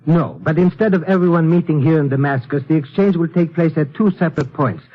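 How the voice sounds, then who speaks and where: A man playing a careful, solemn shopkeeper informant in a 1950s radio drama, formal and measured in manner. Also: fairly high pitch, lightly acted. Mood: solemn, informative. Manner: formal, measured, avoids contractions. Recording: tinny, thin old radio recording.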